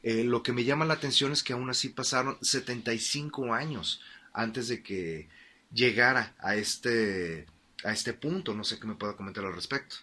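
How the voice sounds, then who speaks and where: A man's voice: medium pitch, normal speed, bright, forceful tone. A man playing a formal, inquisitive history programme interviewer in a radio drama, asking questions in a hesitant, polite way.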